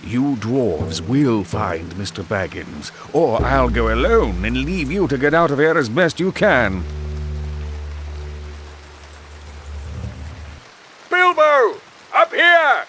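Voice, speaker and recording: Fantasy game wizard, elderly and commanding, expressive delivery, music underneath. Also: male voice, medium pitch, a little slow. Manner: authoritative. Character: commanding old male wizard, stern but kindly. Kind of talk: video game cutscene acting.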